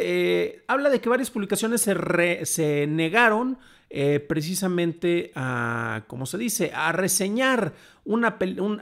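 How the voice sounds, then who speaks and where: A Mexican man with a high-pitched voice hosts a casual live show. He sounds about thirty-something, commenting in a colloquial, somewhat halting way. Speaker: host. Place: small home room, indoors.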